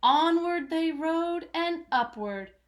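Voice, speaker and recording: A woman's voice: very high-pitched, expressive, takes it slowly. A woman reading aloud to young children as host of a story time, in a dramatic, singsong storytelling manner. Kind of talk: expressive picture-book read-aloud for young children.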